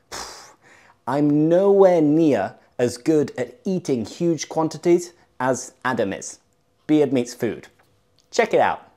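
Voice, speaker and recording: A young English teacher from Leeds talks to his viewers in a chatty, upbeat way. He leans hard on key words. His voice is warm and mellow. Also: a little high. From Leeds, England. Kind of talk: YouTube English lesson to camera.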